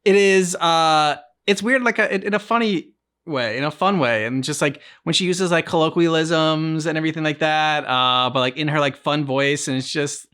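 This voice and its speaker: A man hosting a game reaction, chatting casually in a halting, rambling way and often trailing off mid-thought. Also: fairly high pitch, pushed and bright, smooth. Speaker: reaction channel host. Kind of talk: casual reaction chat about a video game.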